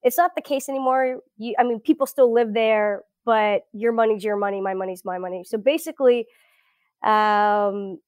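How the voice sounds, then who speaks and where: A woman's voice: medium pitch, a little mellow, clean tone, no echo, studio quality. A woman in her thirties from South Florida, chatting as a guest in a casual interview, with many fillers and restarts. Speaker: guest researcher.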